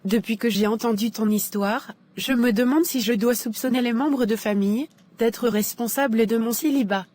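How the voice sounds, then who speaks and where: A woman's voice: on the high side, moderate pace, darkish tone, natural voice. A woman playing a polite, troubled young single woman who confides hesitantly in a cartoon drama. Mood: troubled, confiding.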